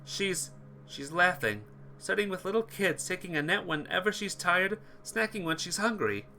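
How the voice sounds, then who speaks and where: An anguished teenage schoolboy played by a man pleads like a visual novel hero. His raised, animated voice is bright and urgent, with impassioned stress on his words. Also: high-pitched, normal speed, slight background noise, voice pushed up, heavily acted. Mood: anguished, angry. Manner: impassioned, emphatic.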